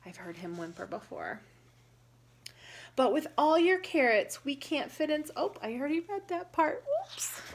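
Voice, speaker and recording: Slow-paced woman reading to children. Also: medium pitch, creaky. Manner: teacherly, prompts listeners and explains words simply. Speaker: storyteller reading a picture book aloud. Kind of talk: children's read-aloud with questions and word explanations.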